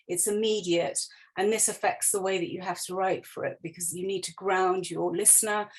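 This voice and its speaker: A woman's voice: mid-range voice, rough recording. A woman writer presenting online in an informal, explanatory way, with conversational and loosely structured phrasing. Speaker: writer giving a talk. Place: online video call.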